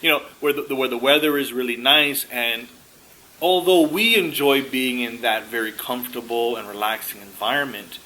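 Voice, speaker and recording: A man giving informal, explanatory advice as a career coach, halting at times and repeating words for emphasis. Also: mid-range voice, pushed and bright, a little hiss or hum, low-quality recording.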